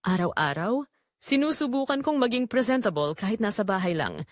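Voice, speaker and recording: A woman performs a neglected wife in her forties, wistful and conflicted. Her pitch moves in lively swings as she confides in short dramatic phrases. The recording is dry and close, in the style of an audio drama. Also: a little fast, dry recording, close to an everyday voice. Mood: weary, wistful. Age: 40s. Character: Rosa, lonely neglected forty-three-year-old wife, wistful and conflicted. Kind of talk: first-person dramatic audio story narration.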